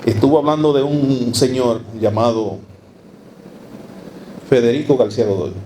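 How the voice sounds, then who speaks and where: A man's voice: mid-range voice, measured pace, mellow. Dominican man lecturing, noticeable background noise. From Dominican Republic. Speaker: academic speaker. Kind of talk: lecture to a live audience.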